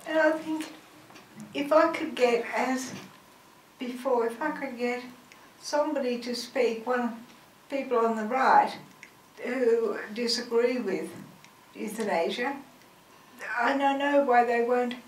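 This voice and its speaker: A woman in her sixties, speaking slowly and haltingly in a weak, quiet voice into a handheld mic in an auditorium. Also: on the high side, recorded from a distance. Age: 60s. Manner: slow, halting, effortful speech. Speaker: audience member. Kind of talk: personal statement at a forum. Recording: echoey hall, faint noise.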